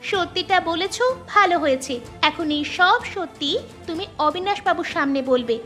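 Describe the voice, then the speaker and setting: A very high, girlish cartoon voice of a bold young villager, acted by a woman. She sounds firm and determined, with light music in the background. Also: moderate pace, sharp tone. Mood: demanding. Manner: firm, defiant. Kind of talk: cartoon voice acting in Bengali.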